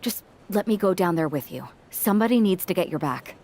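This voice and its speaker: Animated, insistent wrestler. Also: female voice, on the low side, brisk. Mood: insistent, concerned. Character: eager, loyal female wrestler urging on a friend. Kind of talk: video game cutscene dialogue.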